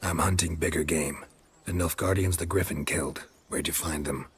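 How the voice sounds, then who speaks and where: An actor playing a gruff, world-weary mercenary monster hunter in a video game, terse and deadpan with a gravelly voice. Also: male voice, normal speed, full character voice. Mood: dry. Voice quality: low gravelly. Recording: loud music behind the voice. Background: loud music.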